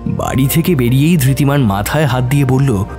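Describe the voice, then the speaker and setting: A man's voice: mid-range voice, a little fast, over music. A man narrating a scripted suspense story in a dramatic style.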